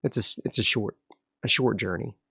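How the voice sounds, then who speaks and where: A man's voice: on the low side, a little fast, creaky, husky, no room sound, studio quality. A man playing a solo tabletop game, casually narrating and thinking aloud as he sets up his next area. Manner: relaxed, rambling, frequent restarts.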